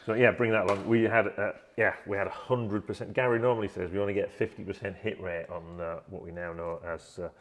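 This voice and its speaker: A man's voice: deep voice, steady tone, fairly quick, few pauses. A man co-hosting a live stream, chatting casually with dry, teasing quips.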